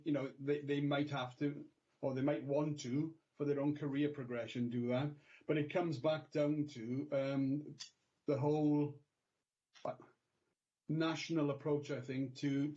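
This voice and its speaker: A man answering questions at a council meeting, speaking haltingly with many repeated words. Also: mid-range voice, a little mellow. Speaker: council education officer. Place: video call. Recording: lo-fi video call audio.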